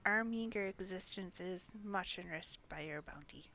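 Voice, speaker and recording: A woman's voice: fairly low pitch, normal speed, pushed and bright, a little hiss or hum. A woman voicing eager insect-like hive creatures who trade in a fantasy game, with quaint, formal phrasing. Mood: eager, welcoming.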